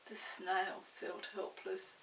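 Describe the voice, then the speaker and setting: An older woman reading a story aloud to young children, expressive, pausing to explain and ask the listener questions. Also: medium pitch, creaky. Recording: roomy, distant mic with faint hiss.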